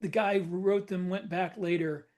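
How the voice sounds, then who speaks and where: Male co-host with a notably high voice, wry and chatty. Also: fairly even intonation, moderate pace, a little mellow. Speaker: podcast co-host, author. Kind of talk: casual chat.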